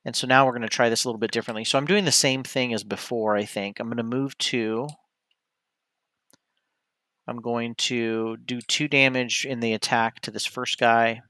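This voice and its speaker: A man's voice: mid-range voice, fairly even intonation, moderate pace, pushed and bright, no room sound, clean studio sound. A man streaming solo board game play, talking casually through each move and often correcting himself as he decides. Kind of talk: casual play-by-play commentary, thinking aloud.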